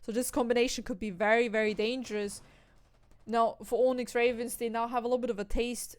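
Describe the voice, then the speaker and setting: A young woman from Austria, commentating an esports match solo, breaking down tactics and lifting into excited calls on the kills. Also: on the high side, a little fast, no room sound. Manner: analytical, rising to excited bursts on kills. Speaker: esports caster.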